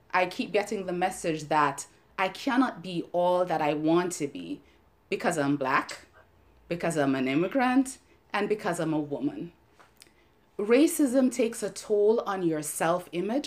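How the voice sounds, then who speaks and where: A middle-aged woman from Jamaica with a Jamaican accent, giving an earnest, measured personal talk as a podcast host and panelist. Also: fairly low pitch. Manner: earnest, measured, with some emotion and restarts. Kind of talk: prepared personal talk on race.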